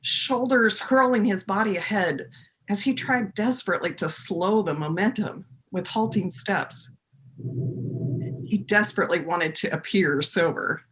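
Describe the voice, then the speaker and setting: A woman playing a folksy storyteller in her fifties in a readers theater monologue, conversational and confiding, with dramatic pauses. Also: fairly low pitch, slight background noise, lightly acted, rough recording.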